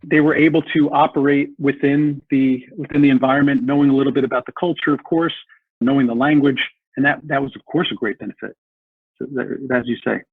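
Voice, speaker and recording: Earnest guy on a podcast, husky voice, tinny phone-line sound, dry. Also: medium pitch, steady tone, a little fast, no echo. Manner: earnest, repeats words while thinking. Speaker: guest. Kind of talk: podcast interview, historical explanation.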